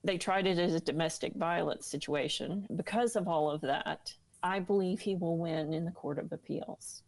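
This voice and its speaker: A woman's voice: on the low side, normal speed, vocal fry. A woman speaking earnestly and seriously as an interview guest, giving personal testimony.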